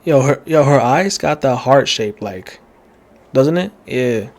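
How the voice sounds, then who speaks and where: Guy streaming a game, chill reaction talk, slangy, stops n starts, medium pitch. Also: expressive. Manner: slangy, halting. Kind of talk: casual reaction commentary.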